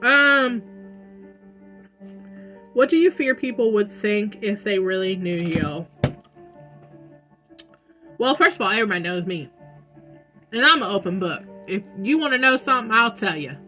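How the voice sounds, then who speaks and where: Chatty young woman with a loud, bright voice. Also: mid-range voice, lively intonation, a little slow, long pauses, faint music behind. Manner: chatty, says "like" often. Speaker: vlogger answering viewer questions. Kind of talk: casual Q&A vlog. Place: room at home with dogs.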